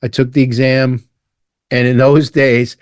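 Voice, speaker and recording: Older man, relaxed and rambling interview guest, dry close mic. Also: medium pitch, a little slow, clear, resonant tone, no room sound. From Ireland, raised in Brooklyn. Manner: relaxed, rambling, with restarts and asides. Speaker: guest, retired fire lieutenant. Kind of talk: casual storytelling interview.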